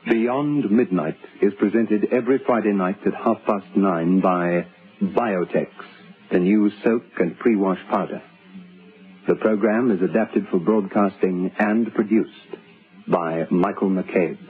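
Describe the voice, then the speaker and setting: A man's voice: lowish voice, measured pace, natural voice. A man reading sponsor credits as an old-time radio announcer. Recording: dull old radio recording, faint music.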